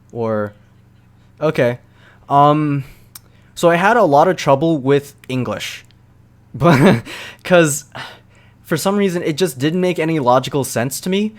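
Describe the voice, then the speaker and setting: A young man answering viewers' questions in a relaxed, rambling live-stream chat, full of fillers and quick laughs. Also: on the high side.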